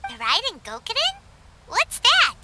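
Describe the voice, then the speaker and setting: Very high, squeaky fairy sidekick. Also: female voice, wildly swooping pitch, measured pace, noisy background, exaggerated voice. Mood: curious. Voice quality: squeaky, childlike. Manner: exclamatory, refers to self in third person. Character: small childlike floating fairy companion, perky, curious, chatty. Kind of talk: anime video game voice acting. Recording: music behind the voice.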